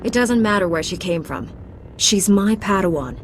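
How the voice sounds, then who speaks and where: A woman playing a calm, protective Jedi master in a video game, direct and authoritative. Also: medium pitch, moderate pace, background noise, close to an everyday voice. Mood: firm. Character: composed, protective female Jedi master, firm and loyal. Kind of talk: scripted video game dramatic acting.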